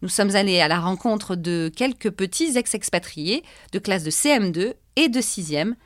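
A woman's voice: medium pitch, lively intonation, normal speed, dry recording. Dry-sounding woman podcast host. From France. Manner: conversational, with frequent hesitation fillers. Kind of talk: podcast narration and interviewing.

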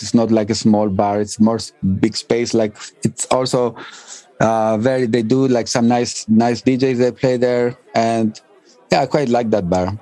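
Mellow man with halting English. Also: medium pitch. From Albania and northern Greece. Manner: halting non-native English phrasing. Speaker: interview guest, DJ and music producer. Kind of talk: casual interview answers.

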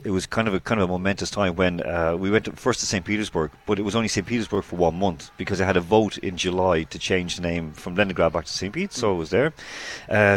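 A man, a broadcaster guest, chatting in a loose, rambling way with many fillers and self-corrections. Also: low-pitched. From Ireland. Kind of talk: casual interview chat.

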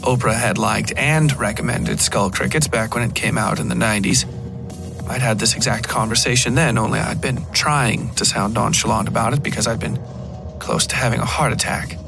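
A man's voice: medium pitch, steady tone, moderate pace, vocal fry. A man reading scripted first-person fiction as the narrator of a horror podcast. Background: guitar music.

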